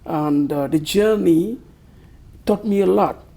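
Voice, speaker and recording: Husky Cameroonian man, slow and thoughtful. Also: high voice, dark tone. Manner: slow, thoughtful, searching for words. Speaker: author guest. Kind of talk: interview answers.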